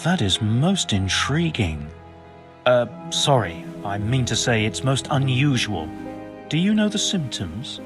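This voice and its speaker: A bookish monk with swooping intonation. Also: male voice, mid-range voice, big pitch swings, unhurried, music in the background. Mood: curious, intrigued. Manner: bookish, wordy and formal. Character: learned monk physician, pompous, well-meaning and absent-minded. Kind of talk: video game cutscene acting.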